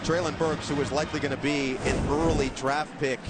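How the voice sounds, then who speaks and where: A man's voice: fairly high pitch, fairly even intonation, brisk. A man calling live college football play-by-play from a stadium booth, with a strong, projecting voice that lifts on big plays. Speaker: play-by-play announcer.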